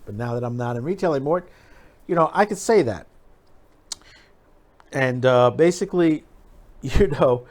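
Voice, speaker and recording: A man with a voice pitched a little higher than average hosts a casual commentary video. He speaks straight to his viewers in a loose, rambling manner. He circles back over his phrases and leans emphatically on key words. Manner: rambling, repeats himself, emphatic. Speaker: host of an opinion video channel.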